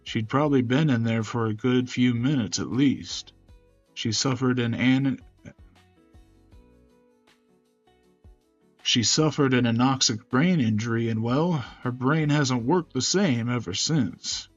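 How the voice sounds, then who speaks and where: A man's voice: medium pitch, measured pace, no echo, lo-fi sound, faint music behind, lightly acted. A man voicing a courteous, protective male caregiver in a visual novel, with a folksy manner. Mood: gently explaining.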